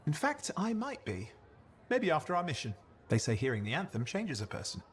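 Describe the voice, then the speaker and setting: A man's voice: on the high side, huge pitch swings, a little fast. An actor playing a cocky young male sidekick in a video game, boastful and wry with a streak of wounded pride. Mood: wistful, teasing. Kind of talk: video game cutscene acting.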